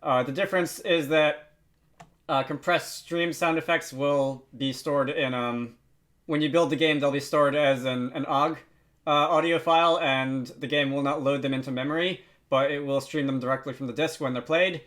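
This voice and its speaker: A man narrating a software tutorial in a casual, informal way, pausing often as he explains. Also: on the high side, fairly even intonation. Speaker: tutorial host.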